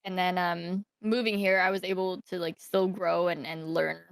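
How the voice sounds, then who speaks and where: A woman's voice: lowish voice, level tone, normal speed, vocal fry, no echo, studio quality. A young woman from Thailand telling her life story as a podcast guest, hesitant, with many fillers and restarts. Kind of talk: casual interview storytelling.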